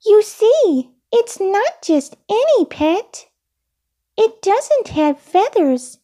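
A woman's voice: extremely high pitch, big pitch swings, extremely slow, warm tone, voice pushed up, heavily acted. A woman voicing a small, eager, polite monster with a childlike manner for a children's storybook. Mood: polite, hesitant. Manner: childlike, refers to self in third person.

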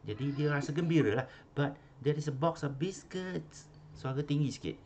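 A man's voice: a little high, brisk, darkish tone, slight background noise. A man with a Malaysian accent teaching an online English class, repeating phrases and correcting stress, switching into Malay. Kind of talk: online class coaching pronunciation.

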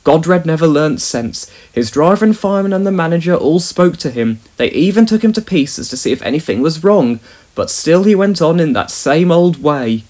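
A man voicing an old, steady male engine who speaks calmly and knowingly. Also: a little high, moderate pace, voice pushed up.

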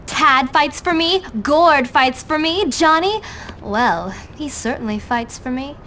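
High-voiced melodramatic teenage temptress. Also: female voice, lively intonation, takes it slowly, pushed and bright, a little hiss or hum, full character voice. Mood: boastful, dramatic. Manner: melodramatic, goading. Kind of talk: video game cutscene acting.

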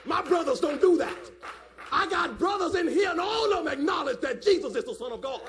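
A male preacher with a very high, strained-register voice full of gravel. He delivers a fiery sermon, hammering phrases through repetition and often shouting them, pressing for responses. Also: lively intonation, fairly quick.

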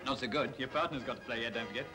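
A man's voice: mid-range voice, rapid-fire, natural voice. A man acting a cheery, matey young in a 1940s British film. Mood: bantering. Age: young adult. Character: cheery, matey young pub billiards player. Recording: old 1940s film soundtrack with music.

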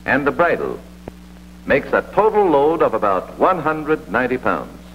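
An American man narrating a vintage military training film in a scripted, authoritative instructional manner. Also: medium pitch. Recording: dull vintage film soundtrack with hum and hiss.

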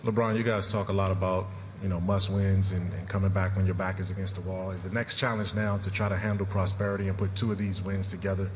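A man asking a brisk, direct reporter's question at a press conference. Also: low voice, level tone, a little hiss or hum. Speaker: sports reporter.

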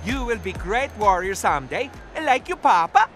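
High, pompous puffin hero. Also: male voice, sing-song, normal speed, music in the background. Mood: grand. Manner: pompous, theatrical. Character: grand, boastful, heroic male puffin.

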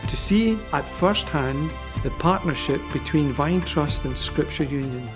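A man reading a measured, informative scripted voice-over. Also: on the high side, lively intonation. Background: music.

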